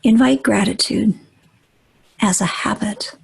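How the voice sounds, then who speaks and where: Female meditation guide: very husky and breathy, gentle, unhurried, with pauses between short instructions. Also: mid-range voice, rough recording. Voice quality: breathy, husky, often near whisper.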